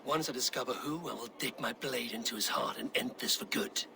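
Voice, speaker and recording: An actor playing a stern, commanding Viking warrior in a video game, speaking in measured, decisive, terse phrases. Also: male voice, mid-range voice, gravelly, natural voice. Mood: urgent, commanding. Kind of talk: cinematic video game acting.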